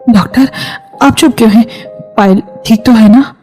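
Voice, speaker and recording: A woman's voice: on the high side, moderate pace, mellow, soft background music, close to an everyday voice. A woman playing a firm, protective middle-aged mother-in-law in a Hindi moral story, speaking emphatically. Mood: worried.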